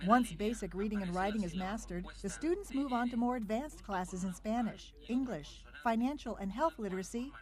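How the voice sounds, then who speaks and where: A woman's voice: mid-range voice, animated, normal speed, few pauses, darkish tone. A woman reporter giving scripted, upbeat narration for a TV news feature. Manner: upbeat, clear reporter delivery.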